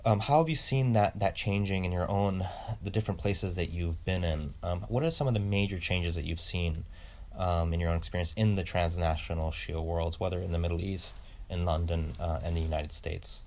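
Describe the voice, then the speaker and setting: A man's voice: low voice, brisk, a little mellow. A man interviewing, asking long winding questions with many fillers. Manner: constant murmured backchannel, long winding questions. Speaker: interviewer, researcher. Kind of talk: oral history interview.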